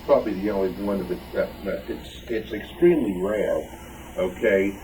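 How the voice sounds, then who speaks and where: A man's voice: on the low side, normal speed, darkish tone, far from the mic, slight background noise, rough recording. A man explaining an item informally at a show-and-tell, hesitant and in broken phrases. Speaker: club member presenting his collection. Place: club meeting room. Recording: recorded from off the mic.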